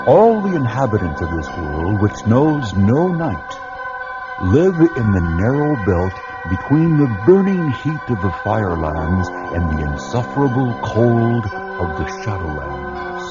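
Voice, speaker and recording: A man narrating a radio drama introduction in a portentous, dramatic style with deliberate phrasing. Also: on the low side, very animated. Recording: dull lo-fi radio drama recording. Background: music.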